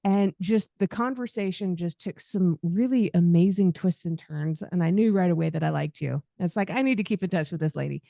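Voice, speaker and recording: A woman hosting a podcast interview, chatty and quick to laugh. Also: on the low side, vocal fry, warm tone, no room sound, studio quality. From Southern United States. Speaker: podcast host. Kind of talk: podcast interview, friendly chat.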